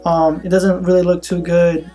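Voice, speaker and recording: A young man in his senior year of college, chatting casually and laughing often, with soft music behind him. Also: sits high, normal speed. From Philippines. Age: young adult. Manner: relaxed, laughs often, says man a lot. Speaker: co-host. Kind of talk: casual chat.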